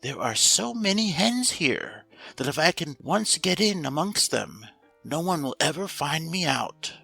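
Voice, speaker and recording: A man's voice: a little high, sing-song, measured pace, creaky, pushed and bright, breathy edge, dry recording, soft background music, voice pushed up, exaggerated voice. A man voicing an anxious, self-pitying female hen from a fairy tale, lamenting in a plaintive, dramatic storybook manner. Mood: hopeful scheming. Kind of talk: storybook character voice.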